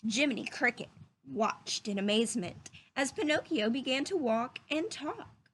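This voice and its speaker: A woman's voice: high voice, expressive, normal speed, a bit bright, slight background noise, rough recording. A woman reading a children's story aloud expressively, with little asides to her listeners.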